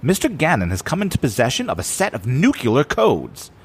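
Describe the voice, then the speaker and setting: An actor playing a formal government agent with a dry, stiff manner in an audio drama. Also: male voice, medium pitch, huge pitch swings, a little fast, husky, exaggerated voice. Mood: grave, earnest.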